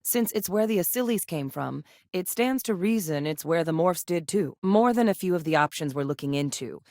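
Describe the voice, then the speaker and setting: Composed, low-voiced woman soldier-scientist. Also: expressive, moderate pace, darkish tone, no room sound, studio quality. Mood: serious, analytical. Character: seasoned no-nonsense woman soldier turned xenobiologist in space. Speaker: video game character voice. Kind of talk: scripted video game dialogue.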